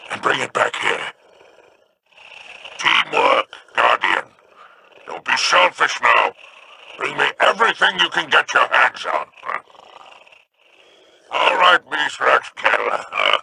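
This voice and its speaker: A smug alien crime boss draws out his words in a rough, gravelly drawl, swooping widely in pitch. His tone is bright and edged, theatrical and wheedling, like a game character savoring every deal, with a faint hiss behind him. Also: heavily acted. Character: sly, smug alien crime lord, older male. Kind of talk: video game voice acting.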